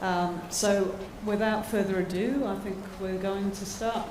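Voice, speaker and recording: Australian woman host, formal and measured; far from mic, echoey room, noisy. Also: mid-range voice, steady tone. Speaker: event host. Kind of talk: formal announcement. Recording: echoey hall, distant mic.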